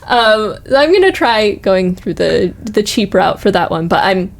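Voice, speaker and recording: A woman's voice: medium pitch, big pitch swings, measured pace, vocal fry. A young woman chatting casually and enthusiastically, often peppering her talk with "like". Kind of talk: casual chat. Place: office.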